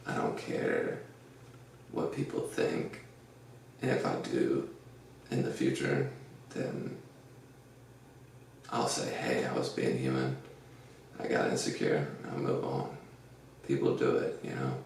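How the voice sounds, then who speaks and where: A man hosting a casual podcast, with a deep, gravelly voice, rambling through an earnest pep talk full of fillers. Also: slow, pauses often, darkish tone, far from the mic. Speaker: podcast host. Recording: echoey room, off-mic, faint noise.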